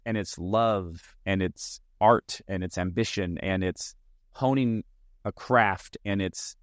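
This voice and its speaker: Low-voiced man, earnest solo monologue. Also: no room sound, clean studio sound. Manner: earnest, reflective, speaks in long lists. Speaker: podcast host and comedian. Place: lives in Arizona.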